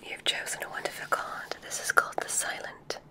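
A woman whispering an ASMR roleplay as a kindly witch examiner, giving gentle, reassuring instructions in a soft, unhurried way. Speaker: ASMR performer in a witch medical exam roleplay.

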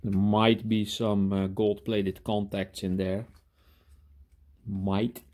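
Man, lowish voice, casual workshop vlog, halting delivery, thinking aloud, hands-on commentary. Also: level tone, a little mellow. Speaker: hobby scrapper presenting his own video. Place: indoor cluttered workshop.

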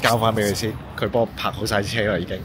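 A young man chats casually and very quickly. His voice is slightly low. He is recorded outdoors on a handheld camera with clear background noise. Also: little pitch movement. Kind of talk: casual chat. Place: outdoors by a road. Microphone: handheld camera at arm's length.

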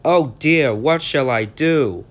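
A man's voice: fairly high pitch, slow, voice pushed up. Man playing an eager cheeky little engine. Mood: panicked. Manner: excited, bright, breathless.

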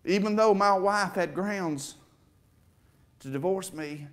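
A middle-aged man preaching from a church stage in a somewhat high voice. He gives a heartfelt testimony, rising into emphatic swells and turning emotional. He is picked up by a camera set back from the stage. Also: takes it slowly. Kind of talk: sermon testimony. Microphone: far camera.

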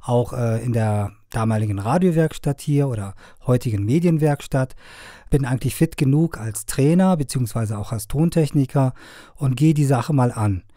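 Mellow middle-aged man, dry studio sound. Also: medium pitch, normal speed, no room sound. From Afghanistan. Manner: hesitant, many fillers. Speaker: sound engineer and media trainer. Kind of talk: conversational interview answers.

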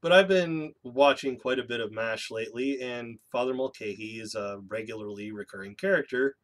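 A man in his thirties hosting a personal vlog, a little congested and nasal from a cold, talking in a candid, measured way with dry self-deprecating asides. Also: medium pitch. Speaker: YouTube channel host. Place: small home room with bookshelves, indoors. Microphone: webcam in front of a seated speaker.

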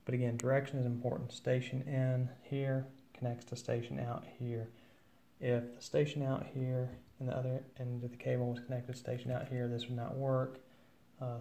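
A man giving an informal, matter-of-fact instructional walkthrough of equipment, with hesitant pauses. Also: medium pitch, monotone, creaky, warm tone, a little hiss or hum. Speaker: presenter of an equipment demo. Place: indoors at a wooden table at home.

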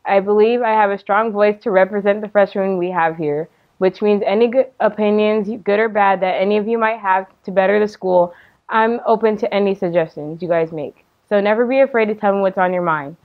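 A woman's voice: mid-range voice, brisk, narrow, tinny audio. A teenage American girl giving a prepared campaign speech as a student candidate. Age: teenager, about 14. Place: indoor studio, seated.

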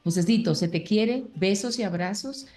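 A woman's voice: medium pitch, normal speed, mellow. Effusive woman hosting a video interview. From Dominican Republic. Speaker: interviewer host. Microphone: webcam on video call.

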